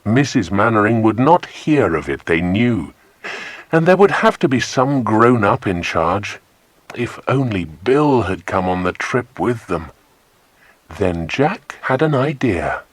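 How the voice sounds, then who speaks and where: A man's voice: lowish voice, takes it slowly, no echo. A man narrating a children's adventure audiobook expressively, close on a studio microphone. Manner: expressive storytelling. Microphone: close, dry studio microphone.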